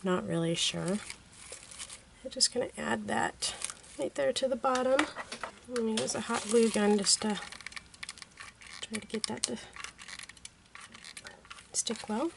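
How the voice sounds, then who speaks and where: A woman hosting a card-making tutorial, hoarse from a cold, talking in a chatty way with unsure asides. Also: mid-range voice, background noise. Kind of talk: casual craft tutorial narration.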